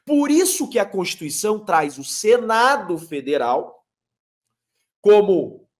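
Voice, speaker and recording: A man's voice: sits high, very animated, takes it slowly. A man, a lawyer explaining legal matters emphatically, posing rhetorical questions as he lectures. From Curitiba, Brazil. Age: 30s.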